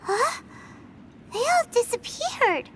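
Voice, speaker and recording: A woman voicing a small floating companion creature in a video game, blunt and quick with retorts. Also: extremely high pitch, sing-song, full character voice. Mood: puzzled.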